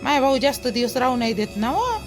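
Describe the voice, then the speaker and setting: A woman's voice: high voice, very animated, brisk, faint music behind. A woman playing a matter-of-fact, placating village woman in a cartoon, giving advice with a Varhadi Marathi accent from Vidarbha. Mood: explaining. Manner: advising, dismissive of fuss. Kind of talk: amateur cartoon dialogue in village dialect.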